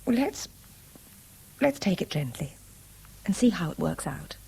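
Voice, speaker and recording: An actress playing a candid, gently teasing woman with an English accent, poised and articulate, in a 1970s radio drama. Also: fairly low pitch, very animated, measured pace, gravelly, slightly rough, heavily acted, rough recording. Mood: teasing, cautious. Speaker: actor.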